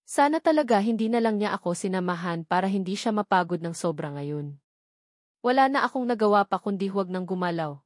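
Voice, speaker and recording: A woman's voice: medium pitch, lively intonation, a little fast, dry recording, clean studio sound. A woman reading a first-person romance novel aloud as a scripted audiobook narration.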